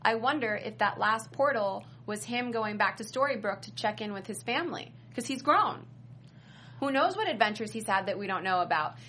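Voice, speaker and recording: A woman's voice: mid-range voice, expressive, normal speed, sharp tone, a little hiss or hum. A woman on an after-show panel, casually explaining and retelling scenes in a conversational way. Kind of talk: casual fan discussion.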